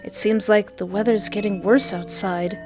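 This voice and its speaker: A woman reading a visual novel aloud, with playful, animated joking asides between the narration. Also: mid-range voice, moderate pace, music in the background. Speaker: let's play streamer.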